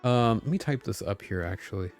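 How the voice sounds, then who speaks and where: A man's voice: lowish voice, animated, fairly quick, faint music behind. A man live streaming a video game, chatting casually with his viewers in an upbeat, friendly way.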